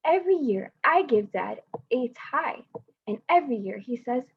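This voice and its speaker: A woman's voice: on the high side, lively intonation, normal speed, gravelly, breathy edge, no echo, narrow, tinny audio, exaggerated voice. A young woman reading aloud, voicing an eager girl who is the older sister.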